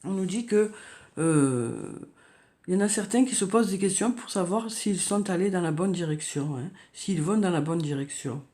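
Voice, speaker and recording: A woman with a cold giving a tarot reading, talking softly and hesitantly with many fillers and repeated words. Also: lowish voice, expressive, normal speed, slight background noise. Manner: soft, hesitant, frequent "hein" and "euh", repeats words. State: has a cold, coughing. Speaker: tarot card reader. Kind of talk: monthly horoscope card reading.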